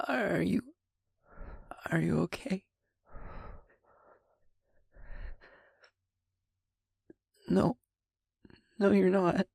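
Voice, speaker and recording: A woman's voice: mid-range voice, takes it slowly, creaky, darkish tone, slightly rough, no room sound, heavily acted. A woman voicing a young empathy demon who is panicking and crying, stammering and breathless. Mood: distraught. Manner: stammering, breathless, near tears. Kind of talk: audio roleplay acting.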